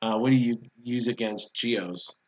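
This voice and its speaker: A man's voice: mid-range voice, a little slow. Man giving gaming advice on lo-fi audio. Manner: explanatory, step-by-step advice. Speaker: guest on a gaming livestream. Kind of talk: casual chat answering viewer questions. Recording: dull lo-fi stream audio.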